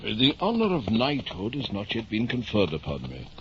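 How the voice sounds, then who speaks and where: An actor playing a deluded, grandiose middle-aged knight-errant, declaiming floridly in the style of 1950s radio drama. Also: male voice, lowish voice, huge pitch swings, normal speed. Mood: grave, resolved. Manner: pompous, florid, theatrical declaiming. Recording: muffled, hissy 1950s radio recording.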